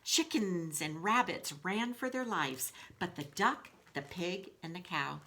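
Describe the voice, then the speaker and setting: A woman's voice: fairly low pitch, lively intonation, unhurried, noisy background. A woman school librarian doing a children's storybook read-aloud, talking to pupils in a reassuring, explanatory way.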